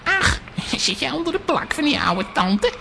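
Cocky, bright high-voiced boy. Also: big pitch swings, a little slow, vocal fry, a little hiss or hum, full character voice. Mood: mocking. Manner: brash, mocking. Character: cocky money-minded neighbour boy, street-smart and cheeky. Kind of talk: children's audio drama acting.

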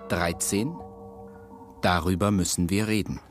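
A man's voice: low-pitched, fairly even intonation, unhurried. A man acting as a declamatory announcer who comments on events in a radio drama. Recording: music under the voice.

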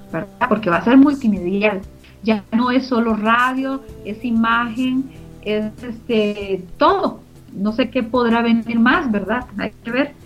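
A middle-aged woman with a Costa Rican Spanish accent, answering interview questions in an earnest, emphatic way and repeating phrases for emphasis. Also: a little high. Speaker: interviewee, co-founder of a community radio organization. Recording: muffled lo-fi audio with background music.

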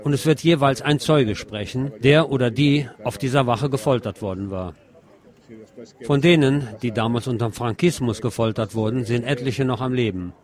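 A man's voice: mid-range voice, moderate pace, a little mellow. A man narrating a street protest report as a radio reporter. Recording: crowd noise behind the voice. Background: protest crowd and street sounds.

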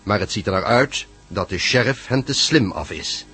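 A man giving a deliberate, dramatic scripted narration for a radio serial. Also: on the low side, lively intonation, pushed and bright, soft background music.

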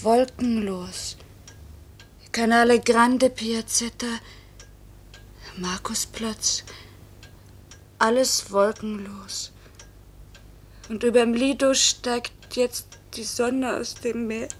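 Anguished young wife, high-voiced. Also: female voice, fairly even intonation, slightly rough, heavily acted. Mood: wistful, grieving. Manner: theatrical, emotional, deliberate phrasing. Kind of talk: 1950s German radio play acting.

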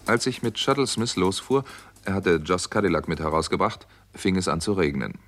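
An actor playing a wry, sceptical doctor who narrates a 1960s radio crime drama in a crisp, matter-of-fact manner. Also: male voice, sits low, moderate pace, a bit bright.

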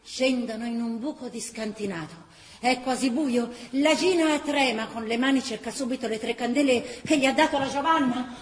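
An actress with a Tuscan accent narrating a wartime chronicle as an impassioned, vivid radio-theatre storyteller. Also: female voice, fairly high pitch, normal speed, lightly acted. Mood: vivid, suspenseful. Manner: vivid, theatrical storytelling that breaks into characters' cries. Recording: roomy stage sound, faint background noise.

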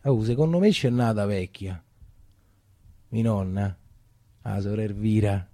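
A man's voice: on the low side, unhurried, dark tone, dry recording. A man with a Roman accent acting a theatrical storytelling monologue in a colloquial, confiding way. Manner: colloquial, confiding, repeats phrases. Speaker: stage actor.

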